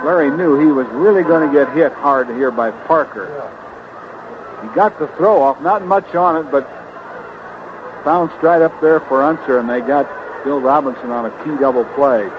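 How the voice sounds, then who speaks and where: A male baseball broadcaster with a fairly high voice recaps play in a folksy, conversational way. The old recording is dull, with clear noise and ballpark ambience behind him. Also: normal speed. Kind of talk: sports commentary and recap.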